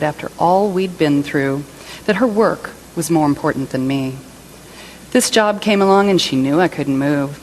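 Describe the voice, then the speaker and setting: A woman's voice: fairly low pitch, a little hiss or hum, close to an everyday voice, poor audio. A woman performing a dramatic monologue as a hurt, self-pitying jilted partner, indignant and emphatic. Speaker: reader in a church service skit.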